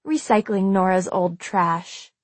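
A woman voicing a sharp-tongued, combative teenage girl in an audiobook, with biting sarcasm. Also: medium pitch, slow pace, no room sound. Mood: sarcastic. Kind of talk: audiobook character dialogue.

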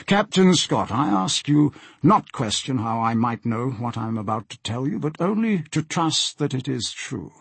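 A man's voice: mid-range voice, very animated, moderate pace, creaky, few pauses, a bit bright, breathy edge, dry recording, full character voice. A man voicing a tall, intense diplomat in a storybook, formal, urgent and insistent. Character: tall, intense, impatient male diplomat on secret mission.

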